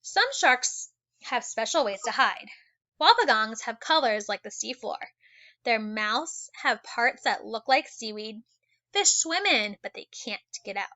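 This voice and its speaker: Bright, higher-voiced woman reading to children. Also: highs cut off. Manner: animated, exclaiming and wondering aloud for young listeners. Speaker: teacher reading a children's book aloud. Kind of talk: expressive educational read-aloud with asides to children.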